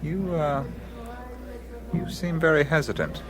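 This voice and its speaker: An actor playing a curious, musing adventurer in a radio drama, pondering aloud with some hesitation. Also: male voice, a little high, expressive, unhurried, background noise.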